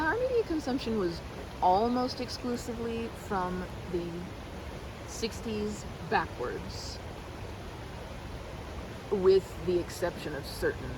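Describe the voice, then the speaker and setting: A woman in her thirties with an American accent, rambling in a casual, animated and playfully theatrical way as a chatty best friend in an ASMR roleplay. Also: on the low side, very noisy. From United States. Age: 30s. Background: river park ambience with water and vehicle sounds.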